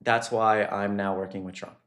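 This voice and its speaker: A male streamer delivers casual political commentary in an exasperated manner. He speaks off the cuff, often halting and reworking his point, and swears as he goes. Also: fairly low pitch, level tone, a bit bright.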